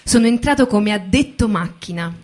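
Woman reading as former factory worker, mid-pitched recollection. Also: animated, moderate pace, a little mellow, close to an everyday voice. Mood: reminiscing. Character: male former car factory worker recalling his youth. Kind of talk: theatrical reading of a first-person testimony.

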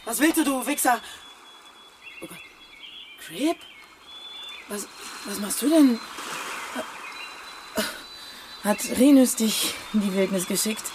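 A woman's voice: fairly high pitch, animated, unhurried, long pauses. Brash, mocking woman amid outdoor noise. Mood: startled. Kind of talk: German radio crime drama acting. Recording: clearly noisy outdoor scene ambience.

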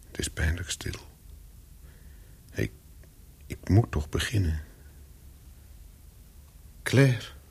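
A man acting a nervous, remorseful former lover in a radio play, speaking in a halting, earnest way. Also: extremely low pitch, creaky, slightly rough, heavily acted. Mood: tense, hushed. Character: nervous, remorseful antique dealer seeking an old lover. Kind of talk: radio play acting, dialogue and inner monologue.

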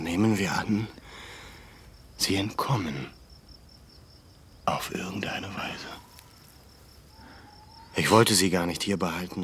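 Weary leader, very deep, gravelly, hushed and grave, radio play. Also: male voice, heavily acted. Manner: hushed, grave, often whispering. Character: weary male resistance leader, grave and resolute. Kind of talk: radio drama acting.

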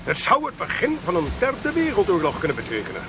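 A male actor in a Dutch radio play, voicing a cold, smooth-talking, ruthless intelligence official in a measured, condescending way. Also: sits high, wildly swooping pitch, background noise, exaggerated voice. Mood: coolly dismissive.

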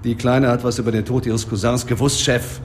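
An actor playing a businesslike police detective in a radio drama, reporting facts in a matter-of-fact manner. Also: male voice, mid-range voice, level tone, fairly quick, a little hiss or hum, lightly acted. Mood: insistent. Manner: factual, businesslike.

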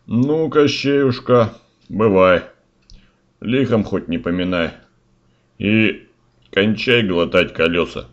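A man's voice: on the low side, slow, long pauses, dull audio. A man voicing Ivan-type peasant fool, a slangy boastful lad, in rhymed comic verse for a fairy-tale audio story. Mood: brash. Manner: slangy, colloquial rhymed delivery.

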